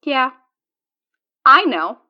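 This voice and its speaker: A woman's voice: sits high, big pitch swings, extremely slow, dull audio, heavily acted. A woman playing a cocky, mischievous adventurer in an audio drama, taunting and theatrical. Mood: gloating. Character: cocky, mischievous female card-playing adventurer, sharp-tongued.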